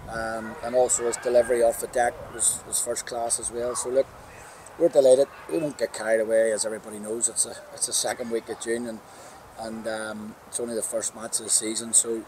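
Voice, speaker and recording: A Northern Irish man in his forties, a football manager giving a plain-spoken post-match interview outdoors at a ground on a lapel mic. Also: medium pitch. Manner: plain-spoken, upbeat, restarts phrases often. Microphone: clip-on lapel mic. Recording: outdoor background noise.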